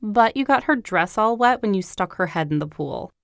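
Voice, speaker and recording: Sour, sarcastic party guest. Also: female voice, mid-range voice, lively intonation, normal speed, gravelly, no echo, studio quality.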